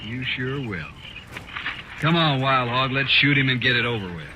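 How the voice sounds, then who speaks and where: A man's voice: medium pitch, expressive, moderate pace, lightly acted. A man acting a sneering western gunrunner, muffled. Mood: taunting. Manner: sneering, menacing. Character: taunting, cold-blooded gunrunner villain of the Old West. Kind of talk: old-time radio western acting. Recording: muffled, noisy old radio recording.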